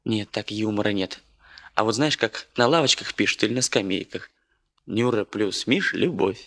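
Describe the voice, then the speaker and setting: A man's voice: fairly low pitch, normal speed, a bit bright, no room sound, clean studio sound. An actor playing a breezy, joking young man in a radio play, casual and jaunty. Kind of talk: Soviet radio play acting.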